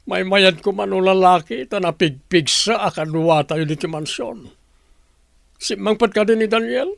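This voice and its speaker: A man's voice: high voice, unhurried, vocal fry, no echo. An actor playing an easygoing young man, the boss's close friend, in an Ilocano radio comedy-drama. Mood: inquiring.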